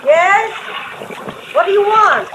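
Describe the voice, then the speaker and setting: An actress playing a sharp-tongued, sardonic young wife in a 1950s radio drama, with a clipped delivery. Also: female voice, extremely high pitch, expressive, slow, slight background noise, full character voice, poor audio.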